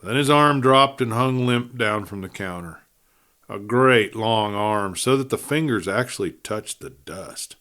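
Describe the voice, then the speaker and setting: A man's voice: lowish voice, expressive, unhurried, fairly bright tone. Dramatic man narrating a Western tale. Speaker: audiobook narrator.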